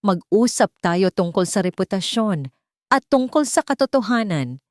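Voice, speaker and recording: A woman voicing a composed, defiant young woman in an audio love drama, calm, cutting and deliberate. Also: mid-range voice, no echo, clean studio sound, lightly acted. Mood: confident.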